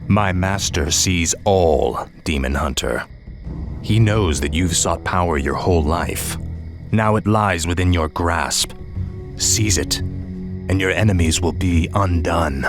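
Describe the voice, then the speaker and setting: A man playing a cunning, cold human villain knight in a game cutscene, measured and taunting. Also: sits low, music in the background. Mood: coldly persuasive. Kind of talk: video game cutscene acting.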